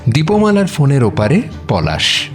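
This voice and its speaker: A man's voice: mid-range voice, huge pitch swings, measured pace, faint music behind. A man narrating a romantic audio story in a scripted, dramatic storytelling style.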